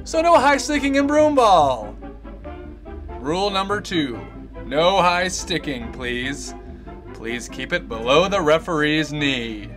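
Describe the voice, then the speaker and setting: A man's voice: a little high, sing-song, takes it slowly, fairly bright tone, heavily acted. A man playing a prim, mock-polite narrator of an old-time instructional film parody, scolding in a sing-song way. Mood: prim, admonishing. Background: music.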